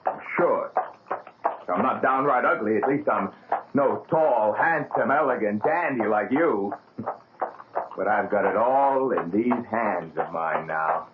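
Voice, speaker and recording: A man's voice: fairly low pitch, lively intonation, takes it slowly. An actor playing an arrogant young male pianist, boastful and bitter, in a 1970s radio drama. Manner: sneering, boastful, theatrical swings. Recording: noisy, tinny old radio broadcast.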